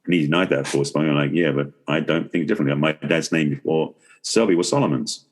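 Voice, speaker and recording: A man's voice: extremely low pitch, quick pace. A middle-aged man from England with an English accent, talking reflectively as a guest in a casual podcast conversation. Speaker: podcast guest, relationship expert and author.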